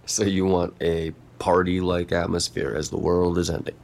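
Low, gravelly, melancholy man. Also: little pitch movement, darkish tone. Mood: guarded, thoughtful. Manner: hesitant, halting, subdued. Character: withdrawn, melancholy, guarded lonely male app user. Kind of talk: naturalistic audio drama dialogue. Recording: noticeable background noise and ambience.

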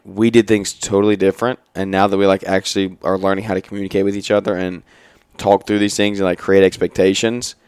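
A man's voice: lowish voice, clean tone, no room sound, studio quality. A man in his twenties chatting on a podcast at a trade expo, talking in loose run-on sentences full of restarts. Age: 20s. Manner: rambling, many restarts and "like"s. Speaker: podcast co-host, married to co-host. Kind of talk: casual podcast conversation.

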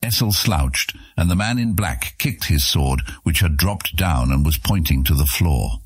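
A man with a deep, husky voice reading scripted fantasy audiobook narration. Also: animated, a little slow, dry recording, studio quality.

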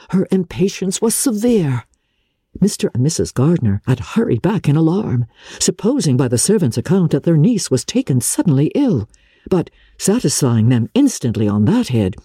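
A woman narrating classic literature in a theatrical, formal and measured storytelling manner, with a husky voice. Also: low voice, warm tone, no echo, studio quality. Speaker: audiobook narrator. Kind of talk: dramatic scripted reading of classic literature.

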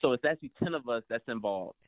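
A man's voice: medium pitch, lively intonation, fast talker, no echo. A man in his twenties calling in as a guest, talking upbeat about his family business. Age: 20s. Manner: upbeat, promotional. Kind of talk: phone-in interview. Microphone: phone. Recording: tinny phone line.

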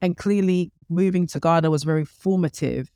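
London-accented woman, lively storyteller with fillers and laughs, dry close sound. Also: lowish voice, normal speed, clean tone, no echo. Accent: London English. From United Kingdom. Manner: animated storyteller, laughs often, many fillers. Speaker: podcast host, guest. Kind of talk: casual interview chat.

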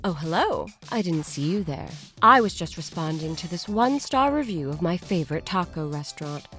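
A woman's voice: medium pitch, fairly bright tone. A woman presenting a comic scripted podcast promo in a tongue-in-cheek, theatrical way.

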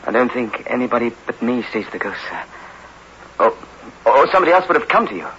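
An actor playing a frightened young prisoner in a 1960s radio drama, anxious, deferential and halting as he pleads. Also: mid-range voice, big pitch swings, sharp tone. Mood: haunted fear. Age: young adult. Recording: muffled, noisy old radio recording.

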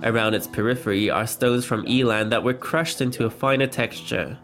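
A man with a middle-pitched voice gives a calm, explanatory voice-over that translates an interview. Loud music plays underneath him the whole time. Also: moderate pace.

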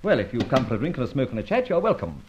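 An actor playing a suave, quick-witted gentleman adventurer in an old-time radio drama, theatrical and teasing with a mock-grand manner. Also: medium pitch, huge pitch swings, muffled recording, slight background noise. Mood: breezy, mocking. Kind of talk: old-time radio drama acting and first-person narration.

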